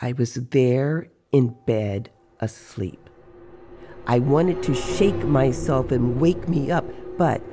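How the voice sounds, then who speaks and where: A reader plays a teasing male sorcerer in an audio story, recounting strange dreams. The voice is gravelly and dark, and the telling is slow and deliberate. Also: mid-range voice.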